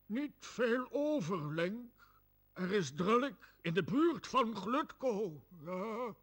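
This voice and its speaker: A cartoon video game guide, speaks in a remarkably high voice. The delivery is slow and theatrical, with lively swings in pitch as guidance is handed out. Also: male voice, heavily acted. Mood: solemn warning.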